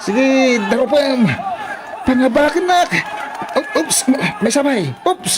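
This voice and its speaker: A man's voice: very high voice, slow pace, music in the background, a crowd in the background. A man with an Ilocano accent playing a brash, drunken young troublemaker, slurred and defiant, in a radio drama. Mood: gleeful.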